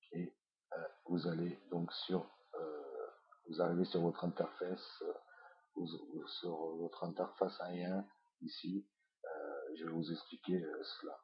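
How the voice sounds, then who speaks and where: A deep, monotone man with a head cold walks through a screencast lesson, hesitating often with fillers. His voice is husky and comes through a dull, lo-fi computer mic. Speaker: online course instructor. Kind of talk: screencast tutorial.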